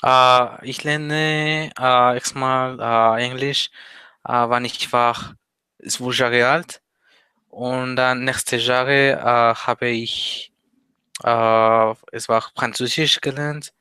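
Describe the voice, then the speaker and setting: Teen guy, slow hesitant talk, bright super clear voice. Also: mid-range voice, level tone, no echo. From Mexico. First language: Spanish. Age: teens. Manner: hesitant, many pauses and fillers. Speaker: interview guest, language learner. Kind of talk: casual interview chat.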